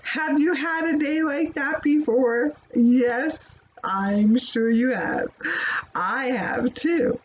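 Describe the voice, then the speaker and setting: A woman hosting a children's storytime, talking to young listeners in an animated, teacherly way with rhetorical questions. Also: high voice, slow pace. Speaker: children's read-aloud storytime host. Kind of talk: child-directed talk about a story. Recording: dull, faintly noisy recording.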